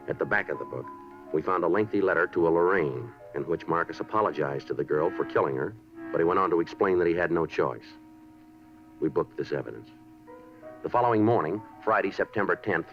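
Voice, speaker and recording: An actor in a 1950s radio drama playing a methodical police detective, clipped, factual and deadpan. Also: male voice, sits low. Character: terse, methodical male police detective narrating his case. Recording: muffled 1950s radio with music behind.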